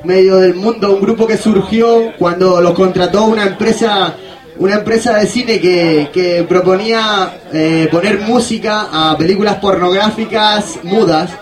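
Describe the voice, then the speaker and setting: A man from Spain with a high voice hosts casual radio. The talk rambles along and circles back on phrases as it builds. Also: unhurried. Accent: Spanish, from Spain. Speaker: radio host.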